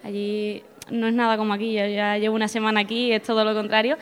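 A woman's voice: medium pitch, flat delivery, fast. A young woman, answering interview questions in a friendly, slightly hesitant way. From Spain. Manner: friendly, a bit hesitant, repeats words. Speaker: exchange student guest.

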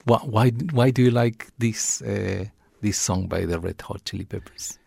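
A male interviewer asking questions in English in a measured, encouraging way. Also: lowish voice, vocal fry, a little mellow, breathy edge, dry recording. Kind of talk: conversational interview.

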